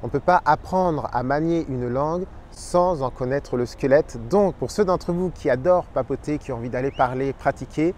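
Energetic man teaching to camera outdoors. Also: medium pitch, moderate pace, few pauses, slight background noise. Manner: energetic, expressive, gestures with his hands. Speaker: Spanish teacher and channel host. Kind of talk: talking to camera, explaining tips. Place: outdoors by a pond in a park. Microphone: lapel mic.

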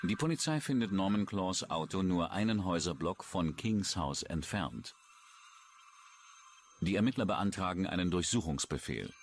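Man, standard German accent, deep pitch, scripted crime narration, background noise. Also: lively intonation, normal speed. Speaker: documentary narrator.

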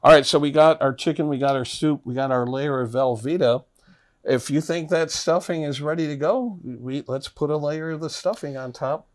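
A man's voice: a little high, normal speed. Chatty middle-aged man hosting. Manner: chatty, upbeat sports talk. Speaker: cooking show host. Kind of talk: casual chat while cooking. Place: indoor kitchen set.

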